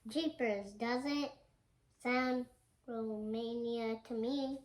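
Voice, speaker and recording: A young girl reading a graphic novel aloud, haltingly sounding out some of the words. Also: fairly high pitch. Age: child. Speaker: young reader on a home video.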